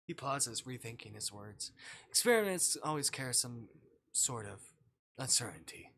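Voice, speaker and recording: A man livestreaming, reading a visual novel aloud in a dramatic, hushed storytelling way. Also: mid-range voice, animated, moderate pace, vocal fry, slight background noise. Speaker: streamer. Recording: faint music behind. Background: faint music.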